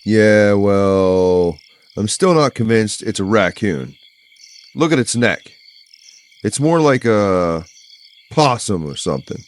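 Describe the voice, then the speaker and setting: An actor playing a jumpy, excitable sheriff's deputy who blurts things out, in an audio drama. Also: male voice, fairly low pitch, takes it slowly, long pauses. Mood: skeptical. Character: jumpy, excitable male sheriff's deputy, skeptical and easily alarmed.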